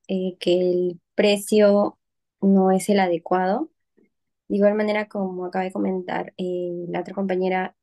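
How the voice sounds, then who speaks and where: A woman's voice: medium pitch, dark tone. A young woman explaining her view in an online group discussion. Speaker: focus group participant. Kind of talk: giving an opinion in a group discussion. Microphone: video call.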